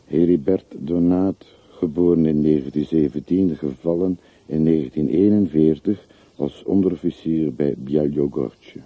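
An actor in a radio drama playing a poor, sober, deferential man with a measured, matter-of-fact way of speaking. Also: very deep voice, no room sound. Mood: solemn, measured. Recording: muffled, narrow-band old radio play recording.

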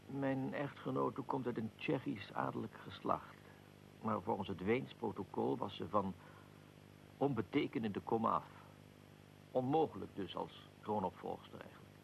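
A deep, heavily gravelled voice gives us a middle-aged archduke in a historical radio play. He is proud and aggrieved, and weighs his words with formal dignity. There is slight noise and rumble on the recording. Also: normal speed, poor audio. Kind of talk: radio drama, historical figure being interviewed.

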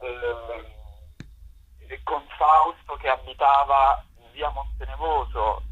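A man's voice: medium pitch, pushed and bright, slightly rough. A man answering radio interview questions as a guest over the phone, in a hesitant, piecemeal way. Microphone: phone call to radio studio. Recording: dull, muffled telephone line.

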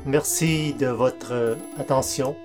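A man gives a scripted podcast sign-off as presenter. His voice sits at a middle pitch, with clearly audible music playing behind it. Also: fairly even intonation, slow. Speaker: podcast presenter reading credits. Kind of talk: scripted announcement, credits outro.

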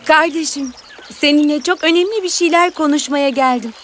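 A woman as a kind, high-voiced elder sister. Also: normal speed, soft background music. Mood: earnest. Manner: gentle, earnest, pleading. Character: kind, patient, hardworking elder sister, young and gentle. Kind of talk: children's fairy tale audio acting.

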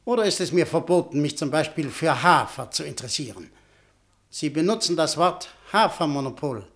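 High-voiced, domineering tycoon. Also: male voice, animated, moderate pace, a bit bright. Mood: sardonic. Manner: blunt, imperious. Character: domineering, blunt male industrial tycoon, self-important. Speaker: radio drama actor. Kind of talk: German radio play acting.

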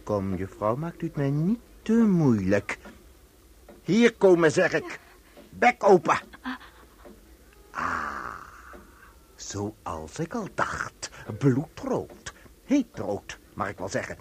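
An actor playing an officious, erratic inspector of lost souls in an absurdist radio play, lurching between soothing and bullying. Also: male voice, medium pitch, huge pitch swings, slow pace, long pauses, slight background noise, heavily acted. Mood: insistent, bullying, then clinical, oily.

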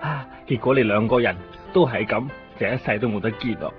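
A man's voice: medium pitch, fairly quick, natural voice. A man voicing a needy, whiny pet dog in a radio drama, pleading like a child. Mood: knowing. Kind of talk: Cantonese radio drama acting. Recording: muffled lo-fi radio recording, music behind.

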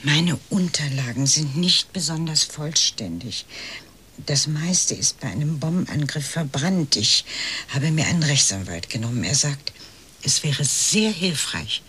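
An actress playing a polite, hesitant elderly lady, a detective's client in a radio crime drama, speaking in measured, breathy phrases. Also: sits low, lively intonation, bright, forceful tone, full character voice. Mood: hesitant, explanatory. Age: 60s or older.

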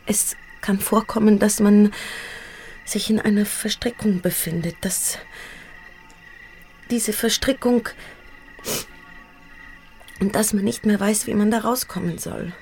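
A troubled young woman in an intimate radio drama. Her medium-pitched voice hesitates, repeats words and slips into breathy near-whispers, as if confiding something painful. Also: steady tone, slow pace, pauses often, a little mellow, soft background music. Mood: sad, confessional. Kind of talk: radio play acting, intimate dialogue.

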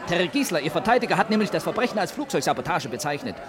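An actor playing a dramatic courtroom reporter in a radio play, solemn and declamatory, with a crowd murmuring behind him. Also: male voice, a little high, very animated, racing. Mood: urgent, outraged. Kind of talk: scripted live-report narration in radio drama.

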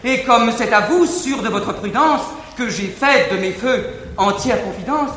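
An actor playing an ardent young male lover, declaiming classical verse on stage in an excited, rapid storytelling manner. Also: very high voice. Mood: pleading. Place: large theatre stage. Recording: echoey old theatre recording with faint hiss.

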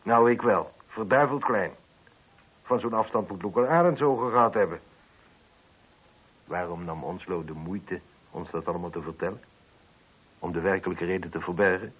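An actor playing a probing, wry police inspector who muses and questions with dry irony in a radio play. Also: male voice, deep voice, moderate pace, pauses often, fairly bright tone, breathy edge. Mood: puzzled, irritated. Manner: musing, questioning, dryly ironic. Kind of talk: Dutch radio drama dialogue. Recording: muffled lo-fi old radio recording.

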